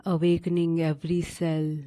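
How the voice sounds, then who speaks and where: A woman narrating a guided sleep meditation in a soothing, unhurried manner with long pauses between short instructions. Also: lowish voice, completely flat delivery, warm tone.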